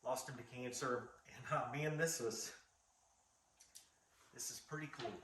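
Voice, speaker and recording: Man, medium pitch, folksy, rambling, enthusiastic, casual vlog host, noisy recording with clicks. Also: fairly even intonation, unhurried, a bit bright. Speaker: vlog host, vintage garage restorer. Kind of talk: casual show-and-tell vlog.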